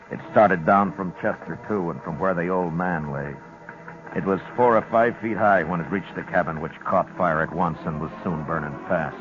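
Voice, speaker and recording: An actor playing a grave, tough frontier marshal in a 1950s radio western, narrating in a measured, somber way. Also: male voice, extremely low pitch. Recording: dull 1950s radio recording with music. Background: dramatic music.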